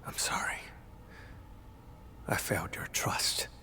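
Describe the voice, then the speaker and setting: An actor playing a grave, tormented but loyal hunter in a video game, with a solemn, earnest delivery. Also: male voice, slow pace, pushed and bright, in a whisper. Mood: weary, regretful. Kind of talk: dramatic video game voice acting.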